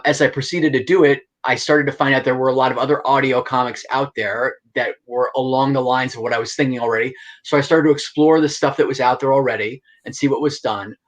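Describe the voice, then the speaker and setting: A middle-aged man speaks as an interview guest in a medium-pitched voice. He explains things casually and with enthusiasm. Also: a bit bright. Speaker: guest, actor and writer.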